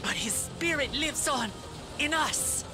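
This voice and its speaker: An actress playing a brave, earnest young princess and protective sister in a fantasy video game, resolute and heartfelt. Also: female voice, high voice, lively intonation, slow pace, over music. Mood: defiant.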